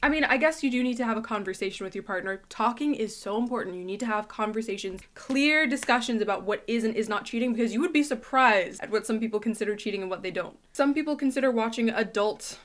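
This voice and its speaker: A woman's voice: a little high, fast, few pauses, fairly bright tone. A chatty woman giving casual, opinionated reaction commentary, thinking aloud as she goes. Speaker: reaction video host.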